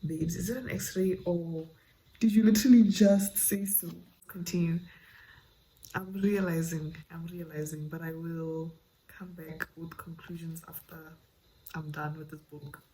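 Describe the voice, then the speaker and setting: A woman's voice: fairly low pitch, steady tone, unhurried, rough recording. A woman chatting casually and candidly in slangy, conversational language to camera, as a book vlogger. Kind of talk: casual reading vlog chat to camera.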